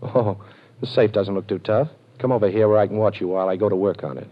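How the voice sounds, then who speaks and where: A man playing a calm, dryly amused detective with an ex-con past in a vintage radio drama. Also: lowish voice, fast, natural voice. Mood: cool. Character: cool wry ex-con detective, male adult, posing. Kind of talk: 1940s radio acting. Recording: dull old radio broadcast.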